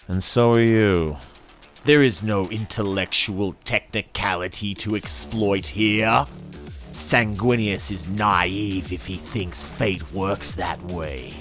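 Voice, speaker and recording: A man reading dark science fantasy as dramatic narration, with theatrical, menacing emphasis and deliberate pauses. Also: low voice. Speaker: narrator reading an audiobook excerpt. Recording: music under the voice. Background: dramatic background music.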